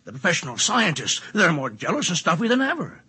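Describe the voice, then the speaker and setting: A cynical, condescending boss in a 1950s radio drama. His very husky, fairly high voice swoops dramatically as he mocks and domineers with theatrical, sardonic emphasis. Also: very animated, fairly quick, full character voice. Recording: bright, rough 1950s radio recording.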